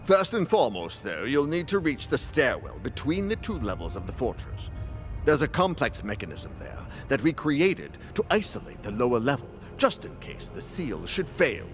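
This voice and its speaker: An actor playing a composed strategist in a fantasy video game, explaining in a deliberate, expressive way. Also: male voice, mid-range voice, soft background music, natural voice. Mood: instructive. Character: composed male strategist briefing warriors. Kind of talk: dramatic video game acting.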